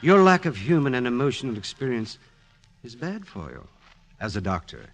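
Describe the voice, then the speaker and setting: A man's voice: mid-range voice, sing-song, moderate pace, faint music behind. An actor playing an amorous, pushy male psychiatrist, theatrical and insistent, in a 1940s radio drama. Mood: critical, pressing.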